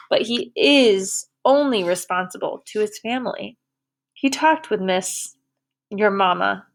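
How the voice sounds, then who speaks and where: A woman voicing a young girl who speaks gently and firmly. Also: mid-range voice, close to an everyday voice. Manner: gentle, firm. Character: calm, firm young freed girl.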